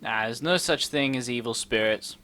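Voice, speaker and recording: A woman's voice: rumbling low, normal speed, a bit bright. A woman streamer giving casual gameplay commentary with wry, jokey reactions. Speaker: streamer playing a game. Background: faint game music.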